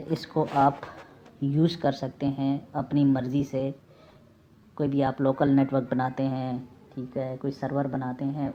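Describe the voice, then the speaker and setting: A man's voice: mid-range voice, warm tone. A man giving an informal tutorial as an instructor, repeating key terms and mixing in English technical words. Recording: dull lo-fi mic with faint noise.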